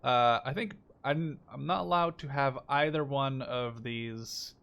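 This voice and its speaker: A man's voice: a little slow, vocal fry, a bit bright. A man in his twenties giving casual, rambling let's-play commentary as the host of a gaming video. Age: 20s. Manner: rambling, informal, hedges with "like". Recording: noisy, game rumble behind voice. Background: war game sounds.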